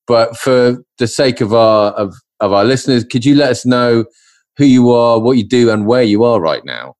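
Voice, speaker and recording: A man's voice: mid-range voice, animated, moderate pace, a little mellow. A man hosting a podcast, chatting casually and jokily, with hesitant fillers. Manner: hesitant, many fillers, jokey. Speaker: podcast host.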